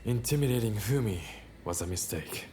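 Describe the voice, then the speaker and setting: An actor playing a bold, charming young samurai rebel leader in a video game cutscene, relaxed and self-assured. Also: male voice, on the low side, normal speed, a little hiss or hum, close to an everyday voice. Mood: grave, resolute.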